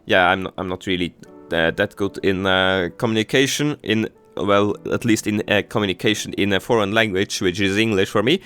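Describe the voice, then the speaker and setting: Young german-speaking dude rambling about his city build, lotsa ums, lowish voice, quiet music behind. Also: clear, resonant tone. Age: teens. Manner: halting, many fillers and restarts. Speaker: city-building game creator. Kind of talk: casual gameplay commentary.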